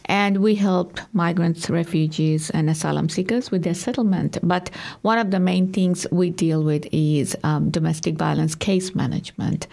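Earnest woman from Bangladesh, gravelly tone. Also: fairly low pitch, fairly even intonation, dark tone. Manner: earnest, halting with many ums. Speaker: community worker and guest. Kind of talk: interview answers.

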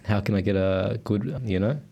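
Calm man hosting an interview. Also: on the low side, moderate pace, vocal fry, husky. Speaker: podcast host. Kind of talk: interview questions. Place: studio.

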